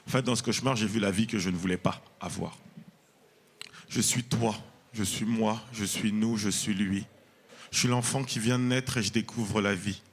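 Husky man performing spoken word on stage. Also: mid-range voice, a bit bright. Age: 30s. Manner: rhythmic, rhyming spoken word. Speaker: comedian and performer. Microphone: handheld mic.

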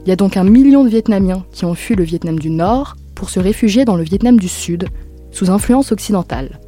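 Woman host reading a steady intro. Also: medium pitch, fairly quick, soft background music. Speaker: podcast host. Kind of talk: scripted introduction and interviewing.

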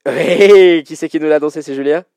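A man with a mellow, relaxed voice hosts a sports trivia game. His delivery is informal and full of colloquial slang, and the sound is close and dry. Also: on the high side, moderate pace, vocal fry, clear, resonant tone, dry recording. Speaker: quiz show host. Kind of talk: hosting a basketball trivia quiz, casual banter.

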